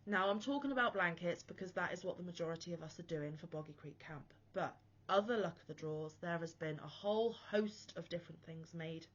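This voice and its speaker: A woman's voice: fairly low pitch, a little fast, fairly bright tone, dull audio. A woman hosting a crochet channel, chatting casually to camera while unwell, a bit wheezy and chesty, with a rambling, self-deprecating manner.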